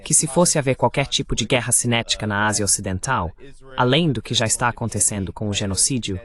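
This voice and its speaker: A man's voice: mid-range voice, quick pace, fairly bright tone. A man hosting and moderating a political discussion, commenting as he goes. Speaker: host and moderator.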